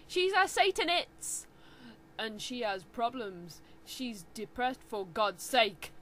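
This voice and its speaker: A woman's voice: on the high side, sing-song, slow, a bit bright, slight background noise, heavily acted. A woman voicing a defensive, petulant teenage fan fiction author who whines and mangles her words in indignant notes to readers.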